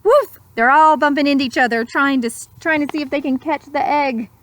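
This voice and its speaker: A woman reading a children's story aloud in an expressive, dramatic manner, with simple explanatory asides. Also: high-pitched, fairly quick, no room sound. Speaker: storybook reader.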